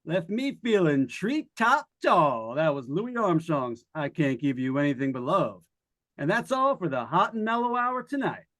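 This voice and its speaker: A man playing an excitable, jovial disc jockey in an old-time radio drama, with chatty, showy, folksy patter. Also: fairly high pitch, normal speed. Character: excitable, jovial, wisecracking male late-night jazz disc jockey.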